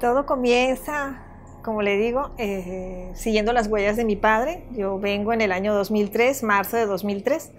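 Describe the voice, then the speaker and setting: An older woman from Venezuela telling her own story in an interview, halting and circling back as she goes. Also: medium pitch, a little hiss or hum. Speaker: property owner giving testimony. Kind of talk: personal testimony in an interview.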